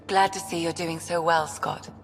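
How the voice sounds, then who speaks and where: A frank, supportive starship crewmate, played by a woman, with a slightly low voice. It is video game acting in a natural style, with faint music underneath. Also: steady tone, normal speed, close to an everyday voice, poor audio. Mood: welcoming.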